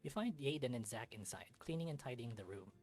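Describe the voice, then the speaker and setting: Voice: medium pitch, animated, fast talker, a little mellow. Narrator with music behind. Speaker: narrator of a let's-read video. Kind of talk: visual novel let's-read, scripted narration with character voices. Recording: music under the voice. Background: game music.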